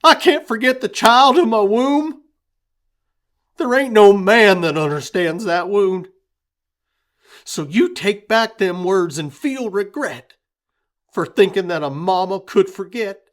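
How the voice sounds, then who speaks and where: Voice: medium pitch, very animated, measured pace, fairly bright tone, pitched above the performer's own voice. Narrator voicing a fierce, tender mother. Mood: hurt, fierce. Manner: pleading, fiery drawl. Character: tender, stubborn rural mother. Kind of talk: rhyming storybook voice acting.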